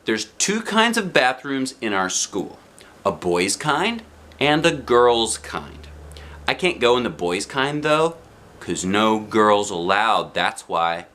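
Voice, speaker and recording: A man reading a children's storybook aloud in an expressive narrating style with short, simple phrases. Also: fairly low pitch, slow pace. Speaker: storybook reader.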